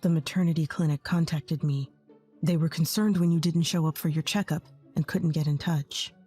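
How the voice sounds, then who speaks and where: A woman voicing a remorseful young husband in a narrated story, speaking in a lower, apologetic way. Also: fairly even intonation, moderate pace, dark tone, husky, soft background music. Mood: earnest.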